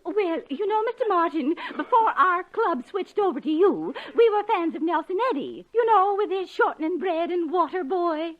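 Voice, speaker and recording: A woman's voice: extremely high pitch, lively intonation, moderate pace, hardly any pauses. A woman playing a chatty, pert elderly fan club lady in a 1940s radio comedy. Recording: dull old radio broadcast recording.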